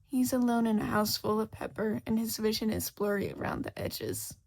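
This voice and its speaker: Husky, expressive woman narrating fiction. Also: fairly high pitch, moderate pace. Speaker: podfic narrator.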